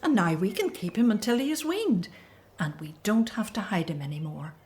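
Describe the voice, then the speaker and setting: A woman's voice: medium pitch, very animated, normal speed, a little mellow, close to an everyday voice. An actress playing a loving Hebrew slave mother in a radio drama, telling things earnestly and with feeling. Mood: relieved, excited. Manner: earnest, emotional storytelling.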